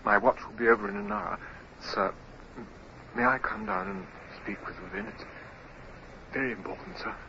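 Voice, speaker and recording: An actor playing an exhausted, guilt-ridden young sailor in a 1950s radio drama, halting and stammering, with a breathy, breaking voice. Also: male voice, fairly low pitch, wildly swooping pitch, bright, forceful tone, heavily acted. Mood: urgent, nervous. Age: young adult. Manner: halting, stammering, repeating words. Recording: muffled, noisy vintage radio recording.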